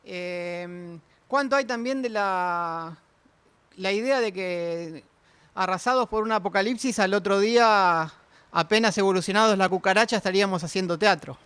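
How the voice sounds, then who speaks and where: A man speaks as an interviewer in a high, bright voice. His tone is crisp, and he puts questions together haltingly, repeating words as he searches for the next. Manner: hesitant, groping for words. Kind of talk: conversational questioning.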